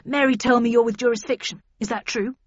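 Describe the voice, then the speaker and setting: A woman's voice: fairly high pitch, steady tone, quick pace, breathy edge, dry recording. A woman narrator acts out a big, hearty police inspector in an audiobook story. He is jovial and eager, always anxious for approval. The recording is close and dry, with a slightly dull top end. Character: large jovial needy male detective chief inspector.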